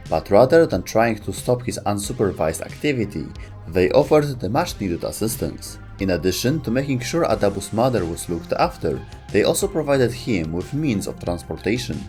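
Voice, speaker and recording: Male explainer narrator, lower register, animated delivery, scripted read over a music bed. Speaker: narrator of a video game lore explainer. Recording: music under the voice.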